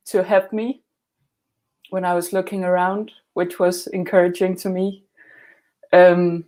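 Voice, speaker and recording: A woman from Denmark with a Danish accent, answering interview questions in a measured, understated, conversational way. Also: lowish voice. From northern Denmark. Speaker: guest, glassblower and student.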